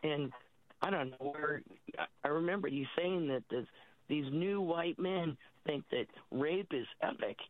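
A woman calling in to a talk show, husky from a recent cold, talking in a rambling, halting way with many restarts. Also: low-pitched, no echo. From California. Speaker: caller. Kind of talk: phone-in call. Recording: tinny phone line.

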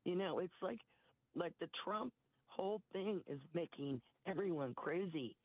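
A woman from California calling a radio show, husky after a cold, rambling and emphatic. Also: on the low side, expressive, a little slow, dry recording. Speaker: caller. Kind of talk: phone-in chat. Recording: tinny phone line.

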